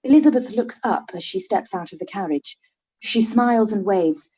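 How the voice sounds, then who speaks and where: A woman reading the stage directions for a live-read radio play. Her voice is mellow, mid-pitched and calm. The reading stays even throughout. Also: moderate pace, low-quality recording. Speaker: narrator.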